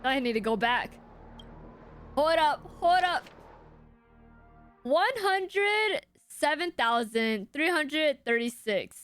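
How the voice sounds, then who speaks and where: A woman gives casual live game reactions in a high, lively voice full of slangy exclamations. The sound is dry, with faint game music behind her. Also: takes it slowly, pauses often, no echo. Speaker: game streamer.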